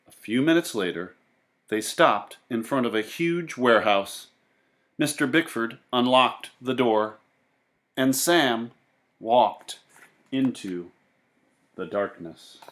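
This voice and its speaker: A man reading a picture book aloud in an expressive, deliberate way, with casual asides. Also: mid-range voice. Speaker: children's book reader.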